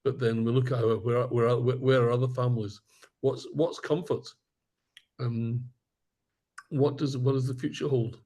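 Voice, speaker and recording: Male class participant, mellow voice, halting, restarts often. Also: mid-range voice, flat delivery. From not from Israel. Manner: halting, repeats words. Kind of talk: comment in an online class. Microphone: video call.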